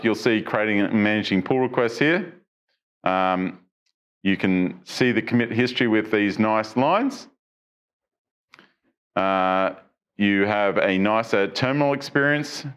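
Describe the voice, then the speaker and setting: A middle-aged man presenting tech news on a studio stage, talking casually through software features, asking viewers questions, with frequent ums and restarts. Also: on the low side, expressive, slow, pauses often. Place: studio stage with dark backdrop.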